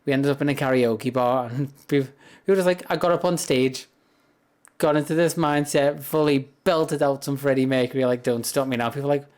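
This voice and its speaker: A man chats in a loose, rambling way on a livestream. His voice is deep and grumbly, and he keeps it low instead of projecting, so a close, high-gain mic picks up every soft word. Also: steady tone, a little fast. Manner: rambling, chatty, soft-spoken without projecting. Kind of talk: casual livestream chat. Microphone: high-gain streaming mic up close.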